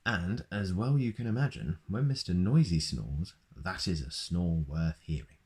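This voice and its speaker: Low-voiced man reading a picture book. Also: unhurried. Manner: lively, playful read-aloud with sound effects. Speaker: storyteller.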